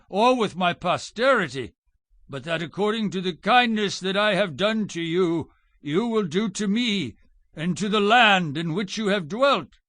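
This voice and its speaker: A man's voice: high voice, fairly bright tone, no echo, clean studio sound. A man playing a wary, dignified ancient king in a formal, solemn Bible dramatization. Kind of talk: dramatized scripture acting.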